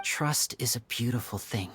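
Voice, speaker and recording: A man playing a young stage magician in an anime video game, soft-spoken and reflective, with a polished, gentle, wistful delivery. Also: medium pitch, normal speed, slightly rough. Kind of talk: anime video game dub acting, dramatic monologue.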